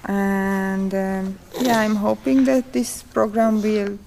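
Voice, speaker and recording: A woman with a Hungarian accent and Hungarian as her first language, leading a folk dance class and answering interview questions in slow, halting speech with frequent pauses. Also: mid-range voice, level tone, creaky, darkish tone, slight background noise.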